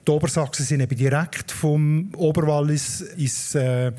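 A man's voice: a little high, steady tone, normal speed, mellow. A man with a Swiss German accent, a dialect expert explaining word origins in a radio conversation. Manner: explanatory, lecturing on word history.

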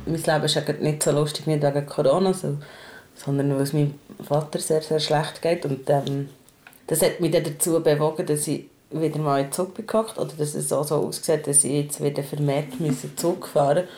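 A woman's voice: deep voice, steady tone, brisk, dark tone, faint music behind. A woman with a Swiss German accent talking candidly and casually, in colloquial words, as a podcast host. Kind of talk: casual personal conversation.

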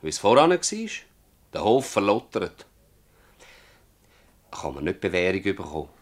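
Plaintive, low-voiced Swiss German farmer. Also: male voice, big pitch swings, a little slow, heavily acted. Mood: urgent, bargaining. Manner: plaintive, blunt, insistent. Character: troubled, stubborn older male village farmer pleading for his farmhand. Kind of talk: radio drama acting in dialect.

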